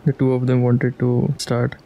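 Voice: mid-range voice, monotone, measured pace, phone-quality sound. A streamer giving casual, giggly playthrough commentary, reacting to the characters. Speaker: streamer playing a game. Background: game music.

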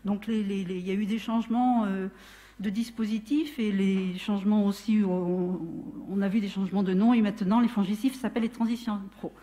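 A woman's voice: mid-range voice, fairly even intonation, fairly quick, warm tone. A middle-aged woman gives a conference talk at a lectern in a large darkened hall, explaining step by step and often restarting her sentences. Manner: explanatory, with frequent restarts and self-corrections. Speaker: training consultant speaking at a trade fair. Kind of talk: conference presentation.

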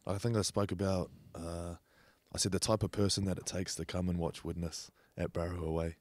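A man's voice: low-pitched, little pitch movement, normal speed, a little mellow, dry recording. A man in his thirties, a podcast guest chatting bluntly and jokingly into a handheld mic in a studio. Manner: blunt, joking, laid-back. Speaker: podcast guest, rugby league player. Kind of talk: casual chat. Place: studio set on a sofa.

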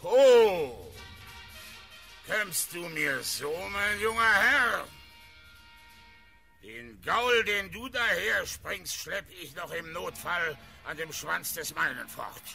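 An actor playing a gruff, proud old cavalry colonel in a classical German radio play, with a gravelly voice. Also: male voice, high voice, lively intonation, slow, pushed and bright, soft background music, full character voice. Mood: indignant.

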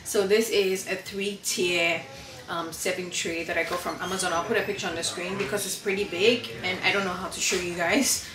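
A woman's voice: fairly low pitch, steady tone, a little fast. A woman in her twenties with a Ghanaian American accent, chatting casually to camera in her home kitchen and laughing often. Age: 20s. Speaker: vlogger. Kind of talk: casual vlog chat. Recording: echoey room, distant mic, noisy.

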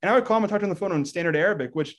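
A man in his thirties from Texas with a slightly high voice, chatting animatedly as a guest on a video call. He laughs easily, fills pauses with ums and restarts his thoughts. He is heard through a webcam microphone in an indoor room. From Texas, United States. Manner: animated, laughs often, many fillers and restarts. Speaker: guest scholar. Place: indoor room with plain wall.

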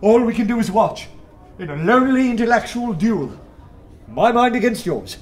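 An actor playing a bold undercover detective in a radio drama, sardonic and animated. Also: male voice, very high-pitched, normal speed. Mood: exultant. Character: wry, bold undercover detective posing as a poet. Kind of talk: full-cast radio drama acting.

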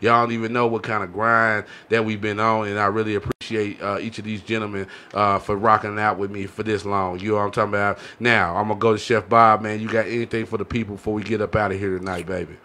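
An American man with a low voice hosts a podcast in a casual, rambling way. His delivery stays flat and even, close on a studio mic. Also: quick pace, hardly any pauses. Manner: rambling, frequent "you know what I'm saying". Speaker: podcast host. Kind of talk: casual podcast sign-off talk. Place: small indoor podcast studio. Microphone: podcast mic on boom arm, headset.

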